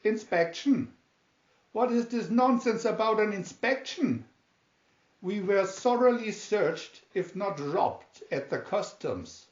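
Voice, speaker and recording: Man reading as cunning young gentleman hero, slow, declaiming. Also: high-pitched, lively intonation, pitched above the performer's own voice. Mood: annoyed, haughty. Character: educated young Spanish Californian gentleman hero, cunning, haughty. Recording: roomy, dull home recording.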